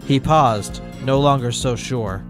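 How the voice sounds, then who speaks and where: A man narrates a fan story in a plain, even tone at a mid pitch. Clear background music plays behind him. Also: slow.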